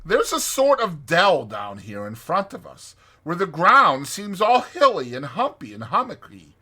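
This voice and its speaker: A man voicing a brisk, bossy, resourceful rat in an emphatic, exclaiming way for a dramatized audiobook. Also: sits high, wildly swooping pitch, bright, forceful tone, breathy edge, voice pushed up, exaggerated voice. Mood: urgent, resolute.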